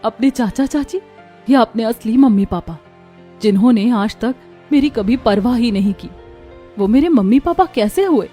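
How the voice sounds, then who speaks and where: A woman's voice: fairly high pitch, expressive, moderate pace, mellow, soft background music, natural voice. A woman voicing a hurt, guarded young daughter-in-law in a family cartoon story. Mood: bitter, hurt. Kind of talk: Hindi cartoon story acting.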